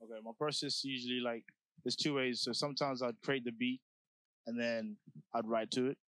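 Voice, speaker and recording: A man's voice: mid-range voice, fairly even intonation, moderate pace, a bit bright, no echo. A young man from South Sudan, talking about his music in a laid-back, slangy way, full of "like" and "you know". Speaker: rapper guest. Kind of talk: casual interview.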